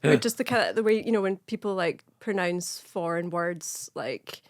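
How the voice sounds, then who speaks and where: A woman's voice: on the low side, expressive, normal speed, dry recording, studio quality. A Scottish woman with a West End Glasgow accent, a comedian guest telling stories in chatty, laughing talk. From Scotland. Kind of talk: casual chat.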